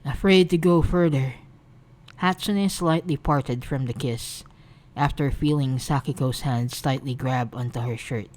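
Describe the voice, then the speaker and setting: Brief: female narrator for romantic fan fiction, low register, soft storytelling read. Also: a little slow.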